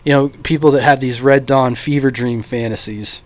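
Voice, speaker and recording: A man's voice: mid-range voice, fairly even intonation, normal speed, dry recording. An American man giving a casual, opinionated vlog monologue in a blunt, informal, rambling way, as a radio hobbyist. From United States.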